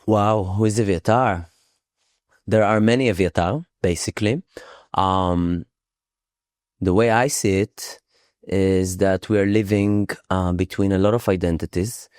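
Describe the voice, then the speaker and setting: A man speaks in a low, smooth, resonant voice. He talks slowly and reflectively, often pausing with fillers as he searches for words. The sound is dry and close, with no room around it. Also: a little mellow, dry recording. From Israel. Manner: slow, thoughtful, hesitant with many pauses and fillers. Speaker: podcast guest, psychodrama facilitator. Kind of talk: casual interview conversation. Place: Thailand island.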